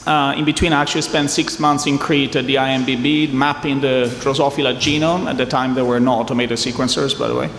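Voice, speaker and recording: A man's voice: medium pitch, steady tone, fast talker, hardly any pauses, fairly bright tone, slight background noise. Italian man talking in an echoey hall. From southern Italy. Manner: chatty, jokes with the audience. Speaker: venture capital investor on a panel. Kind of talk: conference fireside chat. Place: conference hall. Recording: echoey hall, faint ambience.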